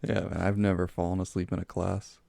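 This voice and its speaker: A young man chatting casually and relaxed with a friend on a podcast, laughing along often. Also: low voice, brisk, vocal fry, dry recording. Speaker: podcast co-host. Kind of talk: casual chat.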